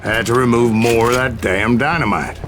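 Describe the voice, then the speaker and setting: An actor playing a grizzled older bounty hunter telling his boastful tale in a wry, unhurried American Western drawl. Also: medium pitch, background noise, close to an everyday voice. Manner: folksy, wry, unhurried storytelling. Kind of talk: dramatic first-person game narration. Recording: loud music under the voice.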